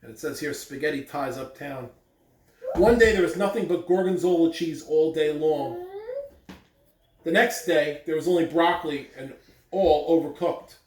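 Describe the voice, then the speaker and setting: A man reading aloud to children in an expressive storytelling style, with deliberate emphasis on key words. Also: a little high. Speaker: school staff member reading aloud on morning announcements. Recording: roomy echo, distant mic, faint background noise.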